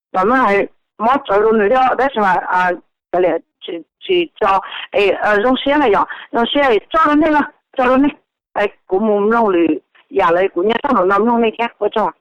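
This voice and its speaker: A woman's voice: mid-range voice, no echo. A woman talking casually as a participant on a conference call. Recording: dull lo-fi telephone line.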